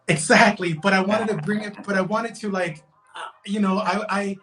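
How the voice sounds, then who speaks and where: A man's voice: sits high, steady tone, normal speed, fairly bright tone. A young man from Guatemala, raised in Los Angeles, chatting as a guest on a video call, talkative, restarting sentences and saying "bro" and "man.". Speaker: guest, digital fashion designer. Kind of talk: casual interview chat.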